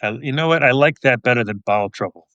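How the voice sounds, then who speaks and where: A middle-aged man with a medium-pitched voice speaks as a guest in a casual interview. He is thoughtful and wry, given to gentle self-mockery. The recording is dry and free of room sound. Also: fast, smooth, dry recording, highs cut off. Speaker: podcast guest, police officer and author.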